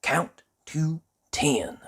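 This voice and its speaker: A storyteller voicing a kindly, gentle male tramp who speaks reassuringly in a storybook reading. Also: medium pitch, big pitch swings, extremely slow, husky, pitched above the performer's own voice, full character voice.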